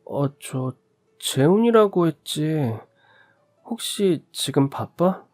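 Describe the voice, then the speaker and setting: A man's voice: on the high side, lively intonation, slow, a little mellow, soft background music, voice pushed up. A man voicing a shy, lonely young boy who hesitantly invites a new neighbor kid over to play.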